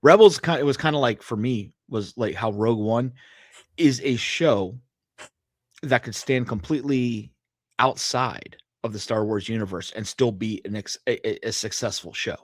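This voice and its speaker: Guy cohosting a podcast, average pitch, just chatting casually, dry recording. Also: normal speed, dry recording. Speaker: podcast co-host. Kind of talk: casual chat.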